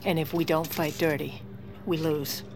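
An actress playing a shrewd, impatient first lady in a comedic audio drama, speaking in a brisk, commanding, dry way. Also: low-pitched, little pitch movement, music in the background. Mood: resolute.

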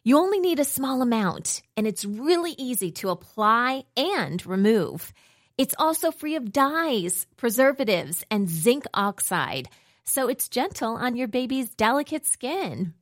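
A woman reads an advertisement with a lively, upbeat lilt. Her voice runs high and swings widely in pitch. It sounds dry and close. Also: unhurried, no room sound. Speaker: ad reader. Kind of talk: scripted advertisement.

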